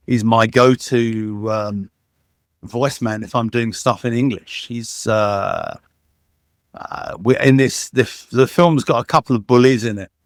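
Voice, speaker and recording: A man of middling pitch talks as a guest in an interview, rambling through his stories with plenty of false starts and fillers. He sounds eager and enthusiastic, and his voice comes across close and dry, with no room sound. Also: no room sound. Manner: rambling, enthusiastic storytelling with many restarts and fillers. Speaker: guest, sound engineer and dubbing supervisor. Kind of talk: casual interview conversation.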